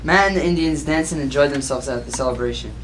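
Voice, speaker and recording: A woman's voice: rumbling low, quick pace. A woman narrating a story in a plain reading style. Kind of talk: student narration read aloud. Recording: noisy amateur recording.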